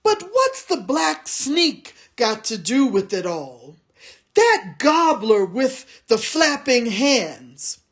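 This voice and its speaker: A woman's voice: on the high side, big pitch swings, slow pace, exaggerated voice. A woman voicing a small, sly male orc tracker who snarls and whines. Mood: snarling, complaining. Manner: snarling, whining.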